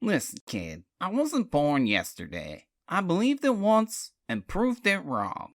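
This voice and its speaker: Audiobook role: mocking male rogue, middle-aged, female narrator performing, crude, defensive, sarcastic, swinging pitch. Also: wildly swooping pitch, slow, heavily acted. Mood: sneering.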